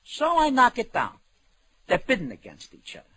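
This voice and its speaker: An actor playing a streetwise New shop dealer, breezy and wisecracking in an old radio drama. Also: male voice, very high-pitched, wildly swooping pitch, normal speed, pushed and bright, slightly rough, full character voice. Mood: gossipy. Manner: streetwise, breezy, terse slang. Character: slangy, wisecracking New York auction-shop dealer and police informant. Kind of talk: 1950s radio drama acting. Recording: noisy old radio recording.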